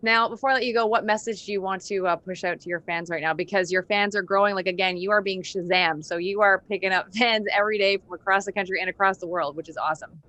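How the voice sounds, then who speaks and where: A woman's voice: mid-range voice, fairly even intonation, quick pace, few pauses, sharp tone. A woman hosting a music interview in a conversational, encouraging way. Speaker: interview show host. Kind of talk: conversational radio-style interview.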